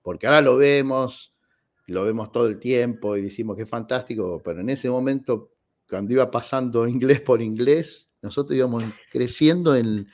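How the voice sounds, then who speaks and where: A man in his forties with an Argentine accent, a guest telling personal anecdotes in an expressive, conversational radio interview style. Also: mid-range voice, moderate pace. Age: 40s. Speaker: guest, tenor singer.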